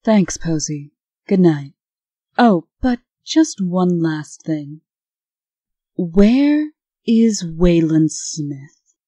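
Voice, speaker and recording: A woman's voice: fairly low pitch, expressive, extremely slow, pauses often, warm tone, no room sound, full character voice. A woman playing a prim, authoritative administrator and exasperated sister, formal and scolding, in a comic dub. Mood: brisk, guarded.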